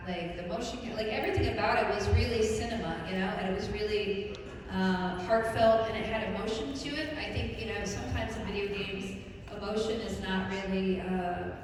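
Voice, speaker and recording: A woman's voice: mid-range voice, brisk, noisy background. Chatty woman on an echoey panel stage. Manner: chatty, animated, lots of "like". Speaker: voice actor panelist. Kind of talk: casual panel talk. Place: convention hall. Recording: echoey hall mic from far off.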